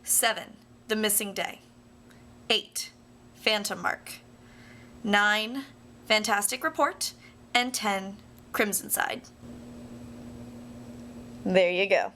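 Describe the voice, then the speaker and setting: Bright-voiced young American woman chatting casually. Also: medium pitch, takes it slowly, pauses often, slight background noise. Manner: self-deprecating, playful, rambling. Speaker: vlogger. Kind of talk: casual personal video diary chat.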